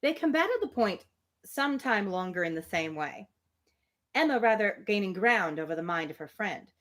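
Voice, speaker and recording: A woman's voice: medium pitch, expressive, moderate pace, a bit bright. A woman who chats casually and reads a novel aloud expressively on a live stream.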